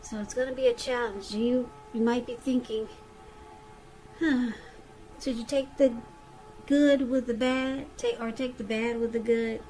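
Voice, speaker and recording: Woman, fairly high voice, tarot reader, conversational, emphatic repetition. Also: steady tone, a little slow, darkish tone, poor audio. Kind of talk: conversational tarot reading addressed to the listener.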